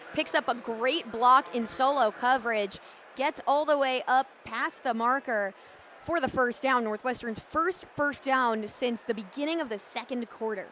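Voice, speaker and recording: A woman's voice: a little high, a bit bright. A young woman giving live color commentary on an American football game. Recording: live stadium booth with background noise. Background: stadium ambience.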